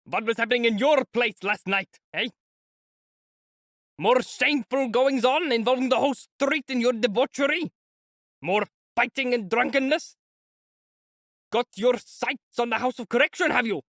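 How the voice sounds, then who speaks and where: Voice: medium pitch, normal speed, no room sound, studio quality, lightly acted. A reader voicing a hot-tempered police lieutenant who blusters and scolds. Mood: furious. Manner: shouting, blustering. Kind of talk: audiobook character voice.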